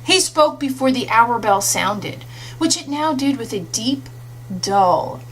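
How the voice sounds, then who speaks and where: Female storyteller, higher register, expressive scripted audiobook read, faint background noise. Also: slow, poor audio. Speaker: audiobook reader. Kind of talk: expressive scripted literary narration. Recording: audible hum and faint noise.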